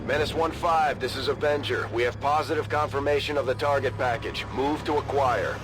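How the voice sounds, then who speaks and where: An actor playing a serious military second-in-command in a video game, briefing with a clipped, businesslike delivery. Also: male voice, mid-range voice, steady tone, brisk, noisy background, lightly acted. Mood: commanding, focused. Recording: loud game music over voice.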